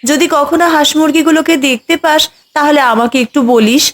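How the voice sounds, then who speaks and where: A woman's voice: high voice, a little fast, close to an everyday voice. A woman voicing a distraught village mother in a cartoon, wailing and pleading over her stolen poultry.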